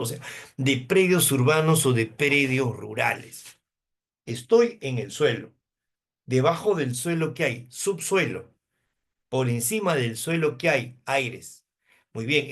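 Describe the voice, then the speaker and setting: A Peruvian man in his fifties teaching a law class, asking rhetorical questions and answering them himself. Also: medium pitch. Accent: Peruvian Spanish. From Peru, coast. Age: 50s. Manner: asks questions and answers them himself, uses hypothetical examples. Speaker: law lecturer.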